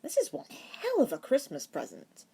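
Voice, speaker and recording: A woman's voice: sits high, huge pitch swings, moderate pace, vocal fry, dark tone, slightly rough, voice pushed up, exaggerated voice. A woman reading the part of a blunt, foul-mouthed man with a hot temper and a barking delivery in an audiobook. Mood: incredulous.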